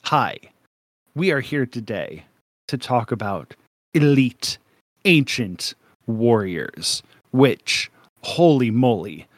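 A man's voice: mid-range voice, very animated, slow pace. A young man co-hosting a podcast in casual chat, jokey and rambling, laughing often. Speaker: podcast co-host.

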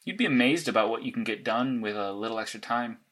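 Blunt, analytical vigilante mastermind. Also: male voice, mid-range voice, expressive, fast, a bit bright. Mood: confident, planning. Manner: matter-of-fact, clipped, insistent. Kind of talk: naturalistic audio drama dialogue.

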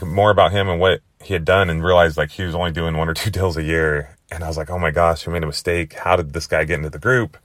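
A young man with a hoarse, worn-out voice hosting his podcast in a rambling, chatty way. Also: sits low, a bit bright, no room sound, clean studio sound. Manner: chatty, rambling with many fillers. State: hoarse, lost voice. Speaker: podcast host. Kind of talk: casual monologue.

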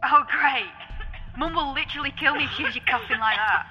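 Very bright, high young girl in reverb. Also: a little fast. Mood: teasing. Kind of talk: audio drama acting. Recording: muffled, long reverb, faint music.

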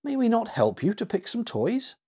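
A man's voice: wildly swooping pitch, normal speed, dark tone, breathy edge, exaggerated voice. A man voicing a shy, polite, eager young boy in a children's storybook. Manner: high-pitched, polite, eager.

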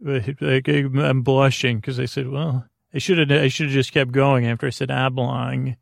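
A man hosting a sleep podcast in a creaky, lulling voice, meandering and stammering through deliberately dull tangents. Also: mid-range voice, level tone, dry recording, studio quality. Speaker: host of a bedtime-story podcast. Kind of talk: rambling sleep podcast monologue.

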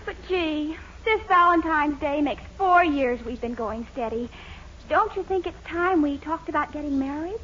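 Gushing young sweetheart, extremely high girlish voice, vintage radio sitcom, noisy recording. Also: animated, normal speed, highs cut off. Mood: adoring. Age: young adult. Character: eager, lovestruck, determined young sweetheart. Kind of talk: 1940s radio comedy acting.

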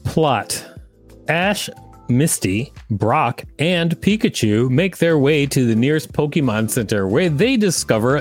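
Man co-hosting a reading podcast. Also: mid-range voice. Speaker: podcast co-host. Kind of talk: reading aloud with casual commentary.

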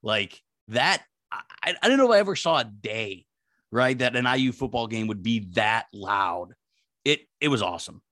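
A deep-voiced man chatting casually on a sports podcast, his pitch jumping all over as he talks. He rambles and circles back with plenty of fillers. The sound is dry and close. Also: moderate pace, no room sound. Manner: rambling, animated, lots of fillers. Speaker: podcast co-host.

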